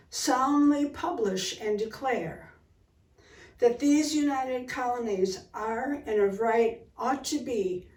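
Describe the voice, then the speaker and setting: A woman with a fairly high voice reads a historic document aloud, solemnly and in measured phrases. She takes her time over each phrase. The sound is echoey and a little distant from the microphone. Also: darkish tone. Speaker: public reader at a commemorative event. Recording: roomy echo, distant microphone.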